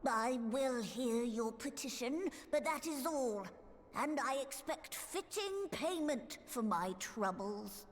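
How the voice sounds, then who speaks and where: Casting: small imperious game creature, high-voiced, very animated pitch, unhurried and haughty. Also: female voice, exaggerated voice. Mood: grudging, haughty. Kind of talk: video game cutscene acting.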